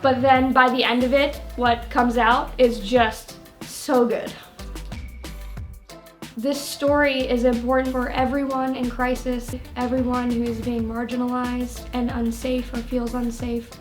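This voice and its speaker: Woman, producer, high voice, level intonation, vivid turns of phrase, faint music. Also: level tone, unhurried. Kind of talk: behind-the-scenes interview.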